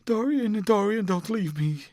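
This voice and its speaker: Man playing a young woman in love, heartbroken, tearful, pleading, high voice, dry sound. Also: animated, unhurried, a little mellow, dry recording.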